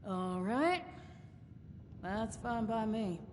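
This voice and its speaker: A shrewd female caravan boss from a video game, proud and sardonic. She talks in genteel, folksy turns of phrase. The sound has long reverb over a faint noisy rumble. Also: lively intonation, drawn-out words, a little mellow. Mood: defiant. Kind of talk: video game character dialogue.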